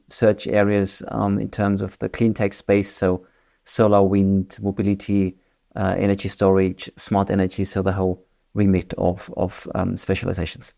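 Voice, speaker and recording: A man's voice: on the low side, vocal fry, warm tone. A man from Germany whose English carries an Australian accent, speaking as a company director in a business interview, hesitant and full of fillers and restarts. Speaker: managing director, interview guest.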